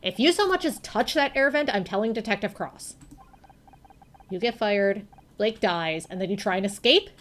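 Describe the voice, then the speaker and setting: A woman's voice: medium pitch, expressive, normal speed, fairly bright tone, close to an everyday voice. A woman voicing a vain young TV actress in a video game, scornful, whiny and dramatic. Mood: indignant. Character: vain, snooty young TV actress, spiteful and self-important.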